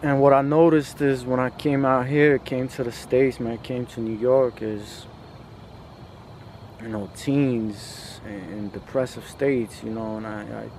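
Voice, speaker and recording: A man from a post-Soviet country speaking in a casual, reflective monologue, halting and repeating himself, with lots of slangy filler. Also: mid-range voice, fairly even intonation, a little mellow, slight background noise. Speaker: podcast host.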